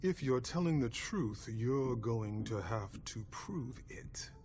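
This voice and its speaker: An actor playing a suave, formal vampire clan leader in a video game, with courtly, measured politeness and quiet menace. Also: male voice, medium pitch, expressive, background noise. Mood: wary, measured. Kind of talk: dramatic video game acting.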